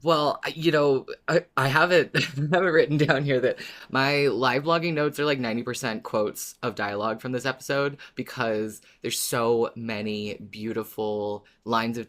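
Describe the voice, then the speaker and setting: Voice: mid-range voice, a bit bright. A podcast co-host talking informally and expressively about a story, as a fan would. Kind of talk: casual fan discussion, partly reading a written recap.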